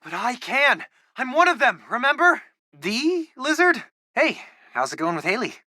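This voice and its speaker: Nerdy american teen sidekick for a video game, super lively, bright voice, dry close sound. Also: male voice, very high voice, measured pace, no echo, full character voice. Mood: casual, friendly. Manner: informal, animated, earnest. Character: eager, geeky teenage boy, hero's loyal tech sidekick.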